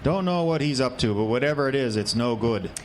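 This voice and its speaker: A man's voice: medium pitch, animated, fairly quick, natural voice. A man playing a wry, wary older family man with a dry, folksy manner in a radio drama. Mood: wry, suspicious. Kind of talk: audio drama acting. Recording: clearly noisy amateur radio drama recording.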